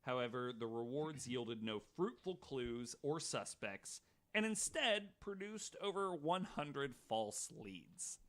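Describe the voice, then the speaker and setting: A man's voice: mid-range voice, lively intonation, slow. A man hosting a podcast, reading prepared true-crime notes and dropping in casual asides. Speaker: podcast host.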